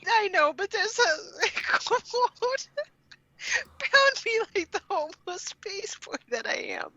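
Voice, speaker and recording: A woman's voice: very high voice, sing-song, takes it slowly, sharp tone, no room sound, muffled recording. A woman co-hosting a podcast, giving comic, mock-dramatic readings and chatting casually, often on the edge of giggles. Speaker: podcast co-host.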